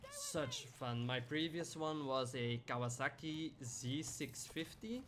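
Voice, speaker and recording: Young man, live streamer, casual chat, rambling, middle-pitched voice, faint background noise. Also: huge pitch swings, takes it slowly, a bit bright. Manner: chatty, rambling, answers chat by name, laughs often.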